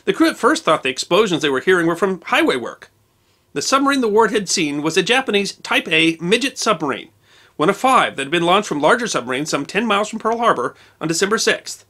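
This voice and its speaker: A man narrating a scripted history documentary, measured and precise with dates and figures. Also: high voice, very animated, pushed and bright.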